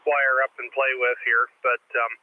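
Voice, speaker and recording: A man's voice: medium pitch, flat delivery, moderate pace. A man with a thin, tinny voice chatting casually as an amateur radio operator over a radio link. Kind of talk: casual ham radio chat. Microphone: studio dynamic mic into a radio transceiver. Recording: tinny narrow-band radio link with static.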